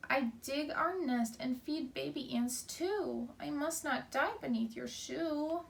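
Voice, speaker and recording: A tiny storybook ant, played by a woman, speaks in a high voice. It pleads earnestly and bravely, leaning on its words to be heard. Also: takes it slowly, gravelly, a little hiss or hum, full character voice. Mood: earnest pleading. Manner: pleading, emphatic storybook delivery.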